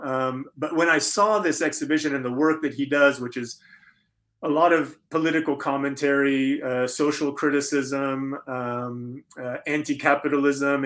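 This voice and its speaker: A middle-aged man with an American accent speaks reflectively in an interview, pausing thoughtfully and rephrasing as he goes. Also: medium pitch, fairly bright tone. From United States, Florida. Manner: halting, thoughtful, repeats and rephrases. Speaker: interview guest, conceptual artist.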